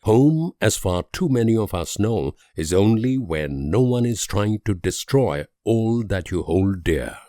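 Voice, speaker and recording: An actor playing a grave, idealistic and world-weary political leader in a strategy game, speaking in measured, solemn oratory with dramatic pauses. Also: male voice, fairly low pitch, very animated, warm tone, dry recording, studio quality. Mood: bleak.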